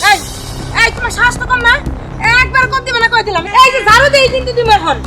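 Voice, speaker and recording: A woman's voice: very high voice, quick pace, music in the background. A woman with a Bangladeshi accent playing an aggrieved, protective village mother, scolding shrilly in a melodramatic short film. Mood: indignant.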